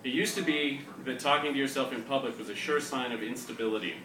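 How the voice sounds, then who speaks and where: A man's voice: mid-range voice, quick pace, bright, forceful tone. A man with a wry, self-deprecating manner, hosting and reading aloud to a live audience. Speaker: co-host of a reading series, poet. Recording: echoey room, distant mic, noisy live audience.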